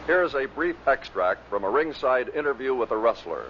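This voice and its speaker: A man's voice: mid-range voice, expressive, normal speed. A man reading scripted narration as the presenter of a compilation of radio clips. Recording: dull vintage recording with faint noise.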